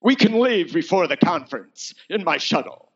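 A man's voice: a little high, big pitch swings, moderate pace, fairly bright tone, slightly rough, muffled recording, full character voice. A man voicing a commanding, besotted alien imperial officer, imperious but pleading, as dialogue in a space opera audiobook. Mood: ardent.

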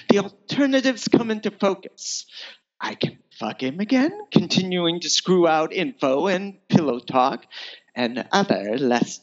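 Man as female spy countess, amoral, arch, melodramatic, slow delivery, very animated pitch, audiobook space opera. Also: lo-fi sound, heavily acted. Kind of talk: first-person audiobook reading of space opera.

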